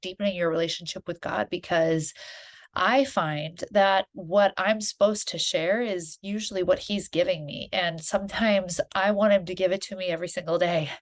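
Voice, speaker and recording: A woman podcast host with a congested, stuffy voice from a head cold. She talks in an earnest, encouraging, conversational way, pitched a little lower than most women. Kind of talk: solo podcast monologue.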